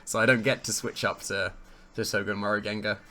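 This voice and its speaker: A man with a slightly hoarse, croaky voice commentates his own competitive match in casual play-by-play, thinking aloud, weighing his options and amused at his own plays. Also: fairly low pitch, flat delivery, fairly quick, sharp tone.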